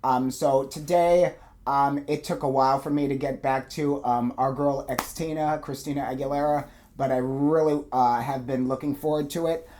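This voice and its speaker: A man hosting a music reaction video, talking casually to camera while sore-throated and hoarse from a cold, with lots of fillers. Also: medium pitch, steady tone, moderate pace, darkish tone, slight background noise. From United States. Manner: chatty, many fillers, apologetic and eager.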